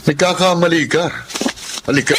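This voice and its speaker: An actor with a Filipino accent playing a jealous, aggressive young suitor in a radio drama. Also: male voice, on the high side, fairly even intonation, moderate pace, noisy background, natural voice.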